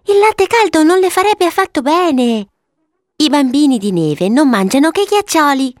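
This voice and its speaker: A woman voicing a sweet, eager, bossy little girl in a storybook, earnest and excitable as she gives instructions. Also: high-pitched, normal speed, dry recording, studio quality, voice pushed up. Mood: gently admonishing. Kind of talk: storybook character voice.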